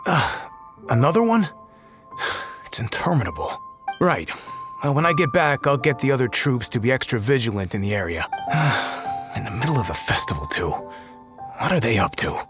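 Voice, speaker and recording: An actor playing a dutiful, somewhat weary patrol guard in a fantasy video game, speaking in an earnest, conversational way. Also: male voice, mid-range voice, animated, moderate pace, pauses often, over music, natural voice. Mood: weary, puzzled.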